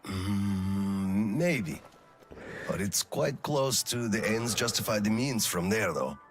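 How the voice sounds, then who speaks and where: An actor playing a weary, conscientious middle-aged colonel in a game drama, speaking in a reflective, measured and slightly hesitant way. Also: male voice, on the low side, animated, soft background music. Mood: troubled, pensive. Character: weary, conscientious male colonel and lone father. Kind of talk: dramatic video game cutscene acting.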